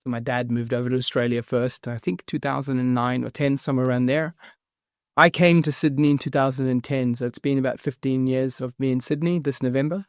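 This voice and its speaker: A man's voice: medium pitch, clean tone, dry recording, clean studio sound. A man, talking energetically as a guest in a casual interview. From New Zealand. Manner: energetic, fast-flowing explanations with fillers. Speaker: guest, property investment founder.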